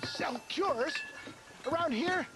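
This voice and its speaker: A man playing a flamboyant older snake-oil salesman in a video game, genially scheming, with grandiloquent, drawn-out phrasing, throat-clearing and chuckles. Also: very high-pitched, expressive, loud music behind the voice, full character voice. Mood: grandly scheming. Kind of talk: theatrical video game acting.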